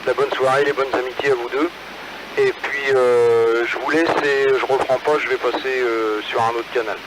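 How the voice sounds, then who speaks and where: A man's voice: medium pitch, steady tone, moderate pace. Man chatting over noisy ham radio. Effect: two-way radio transmission. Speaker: amateur radio operator. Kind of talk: casual radio chat between hams. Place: in a car, mobile. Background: radio and vehicle noise.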